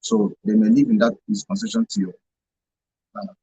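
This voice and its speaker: A man's voice: medium pitch, very animated, moderate pace, creaky, dark tone, husky, dry recording, poor audio. A man with a Nigerian accent explaining points emphatically on a talk show, repeating himself for stress. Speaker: radio show panelist.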